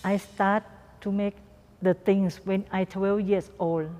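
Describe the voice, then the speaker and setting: A woman from Thailand with a Thai accent, a guest artist answering interview questions in halting, simple non-native English and repeating words. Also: mid-range voice, flat delivery, warm tone. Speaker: guest artist and doll maker. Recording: reverberant room.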